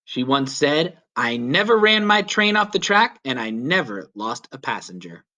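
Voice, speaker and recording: A man reading a children's story aloud as a teacher would, with clear, deliberate, emphatic phrasing. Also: medium pitch, very animated, sharp tone. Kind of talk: children's read-aloud narration.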